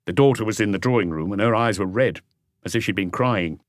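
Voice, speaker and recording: Low-voiced man narrating, close and dry. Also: fairly quick, dry recording. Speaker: audiobook narrator. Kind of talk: scripted literary narration.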